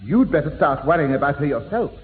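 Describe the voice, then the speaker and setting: A man's voice: a little high, fast talker. A man playing a nervous, gloomy young pal in a 1960s radio sitcom. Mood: worried. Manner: worried, animated. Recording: tinny, reverberant old radio broadcast.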